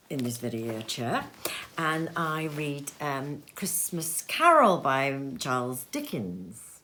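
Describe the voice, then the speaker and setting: A middle-aged woman reading a classic novel aloud at home in an expressive, theatrical way with playful asides. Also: sits low, slight background noise. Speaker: reader. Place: cozy sitting room at home. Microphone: seated in a chair facing the camera.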